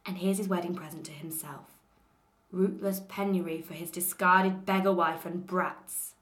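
A woman's voice: lowish voice, steady tone, moderate pace, a bit bright, natural voice. A young woman acting a betrayed, furious sorceress queen in a bitter, mocking dramatic monologue. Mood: bitter, scornful. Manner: sarcastic, bitter, rising to outbursts. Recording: roomy echo.

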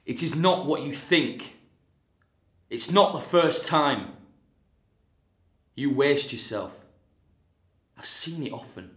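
A young man in his twenties playing a scornful, exasperated ancient Greek hero in a loud, lecturing monologue, recorded in a roomy space. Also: a little high, animated, slow, long pauses, pitched above the performer's own voice. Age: 20s. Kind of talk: drama school monologue. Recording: roomy echo.

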